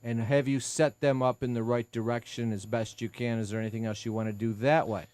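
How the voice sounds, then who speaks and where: A man's voice: medium pitch, level tone, fairly quick, a little mellow, no room sound. A man in his sixties hosting a legal talk radio show, chatty and self-mocking, often stopping to restart a sentence. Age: 60s. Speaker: attorney and host. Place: radio studio. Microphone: studio mic.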